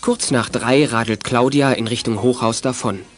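A man's voice: mid-range voice, steady tone, moderate pace, soft background music. A man narrating a children's audio drama from a script in a knowing storytelling manner.

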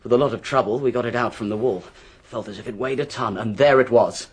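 An actor playing a brisk, blunt English police inspector in a radio drama, confident and emphatic, with a gruff, husky voice. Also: male voice, medium pitch, close to an everyday voice. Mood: triumphant.

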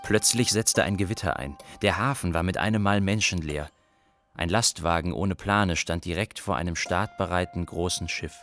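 A man's voice: sits low, moderate pace, fairly bright tone, faint music behind. A man playing an observant, matter-of-fact young refugee who narrates a radio play.

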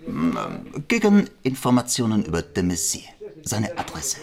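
An actor playing a shifty, greedy, nervous Algerian informant in a German radio crime drama, hesitant and wheedling. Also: male voice, on the low side, wildly swooping pitch, moderate pace. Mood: sly, bargaining. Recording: bar crowd behind the voice. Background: busy bistro chatter.

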